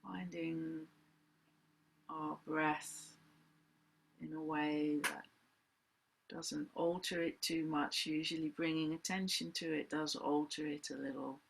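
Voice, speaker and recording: A woman leading a guided meditation as a teacher, speaking in gentle, deliberate phrases with long pauses. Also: deep voice, level tone, gravelly, slight background noise, low-quality recording. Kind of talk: guided meditation, unscripted spoken instruction.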